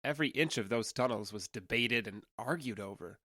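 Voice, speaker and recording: A man's voice: medium pitch, fairly quick, bright, forceful tone, dry recording, clean studio sound. A man playing a young alien trader who passes as human in an audio drama, talking in a conversational, earnest and slightly hesitant way. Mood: matter-of-fact. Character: awkward, candid young male alien trader passing as human.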